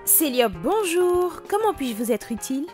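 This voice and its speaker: A woman's voice: sits high, sing-song, a little slow, darkish tone, faint music behind. A woman playing a polite, helpful information officer who explains precisely and formally in an educational cartoon. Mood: courteous. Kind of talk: scripted explanation in animated educational film.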